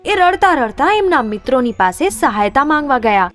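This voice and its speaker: Woman narrator for children's tales, expressive and higher-pitched, over faint background music. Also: normal speed. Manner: expressive storytelling. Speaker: storyteller, narrator. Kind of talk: scripted children's story narration.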